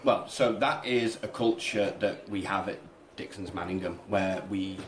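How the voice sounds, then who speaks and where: Low-voiced male teacher in an echoey room. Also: a little slow, noisy background. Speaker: primary school teacher. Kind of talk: radio interview, conversational explanation. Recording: roomy echo, people chattering behind.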